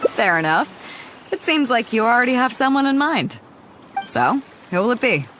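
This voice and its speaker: A woman's voice: a little high, sing-song, normal speed, a bit bright, a little hiss or hum. An actress voicing a bold, teasing, confident female ship captain in a game cutscene. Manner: teasing, self-assured, laughs easily.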